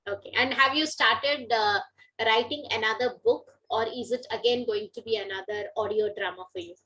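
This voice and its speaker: A woman's voice: fairly high pitch, moderate pace, sharp tone, poor audio. A woman, hosting a conversational interview in an agreeable manner with frequent brief acknowledgements.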